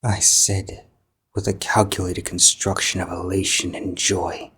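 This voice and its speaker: Guy reading as brooding hollow living-book pony, lowish voice, slow n dramatic, music under it. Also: fairly bright tone. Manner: measured, halting, melodramatic. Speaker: narrator. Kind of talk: dramatic first-person fan fiction reading.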